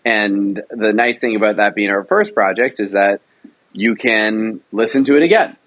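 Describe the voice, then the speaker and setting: Male interview guest, producer type. Fairly deep, very dry sound, casual halting explanations. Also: no room sound, lo-fi sound.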